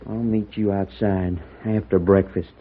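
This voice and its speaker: An actor playing a jealous, blustering young gunslinger with a husky voice in a 1950s radio western. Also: male voice, deep voice, level tone, unhurried, noisy background. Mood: threatening. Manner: blustering, challenging. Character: hot-headed, jealous young male gunslinger. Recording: tinny, band-limited old radio recording.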